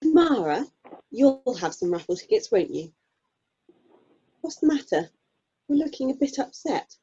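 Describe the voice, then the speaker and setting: Casting: actress as sensible, kindly young mum for radio comedy, soft dark tone, chatty, slightly muffled recording. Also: female voice, sits high, very animated, a little slow. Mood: concerned. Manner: chatty, gently teasing. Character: friendly, sensible young mother selling raffle tickets. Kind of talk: amateur radio play acting. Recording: dull, lo-fi video call sound.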